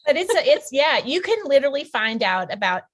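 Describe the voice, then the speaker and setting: A woman's voice: sits high, sing-song, fairly quick. A woman, a novelist, chatting casually as a guest on a podcast. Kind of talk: casual chat.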